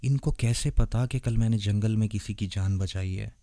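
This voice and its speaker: A man's voice: lowish voice, normal speed, warm tone, no room sound, lightly acted. A man playing a naive, intense and protective young vampire boyfriend in a romantic ASMR audio drama, earnest and dramatic. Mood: bewildered, agitated. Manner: earnest, dramatic, puzzled questioning.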